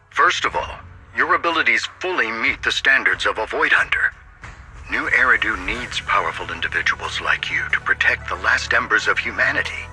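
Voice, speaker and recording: Formal game statesman: earnest, measured, sharp forceful tone, lowish register, radio-call sound. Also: husky, full character voice. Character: earnest dignified male mayor bestowing an honor. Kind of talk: formal scripted game dialogue.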